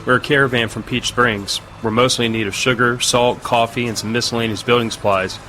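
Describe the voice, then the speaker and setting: An actor playing a firm mercenary leader who runs a supply caravan in an audio drama. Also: male voice, mid-range voice, steady tone, brisk, pushed and bright, a little hiss or hum, lightly acted, low-quality recording. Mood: firm, diplomatic.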